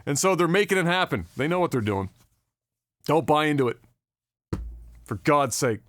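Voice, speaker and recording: Dry-sounding ranting man, higher voice. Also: animated, normal speed, a bit bright, dry recording. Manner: profane, wry ranting. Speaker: podcast co-host. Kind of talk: casual gaming news chat.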